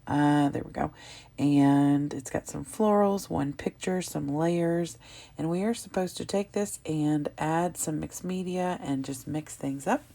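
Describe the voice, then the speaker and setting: A woman with a low, mellow voice, a little froggy and hoarse, narrates her scrapbooking process. She talks casually and meanders, full of ums and quick self-corrections. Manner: chatty, rambling, with frequent ums and self-corrections. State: slightly hoarse, froggy throat from allergies. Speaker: craft video host.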